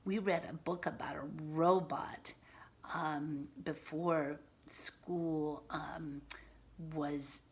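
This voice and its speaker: A woman teacher reading a picture book aloud to young children, pausing to explain words and ask simple questions in an instructive way. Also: deep voice, steady tone.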